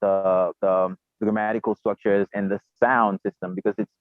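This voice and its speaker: A man's voice: on the low side, little pitch movement, normal speed, no room sound. A young Haitian man whose first language is Haitian Creole, talking in an interview with many fillers and repeated words. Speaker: podcast guest. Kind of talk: conversational interview. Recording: tinny phone-like remote call.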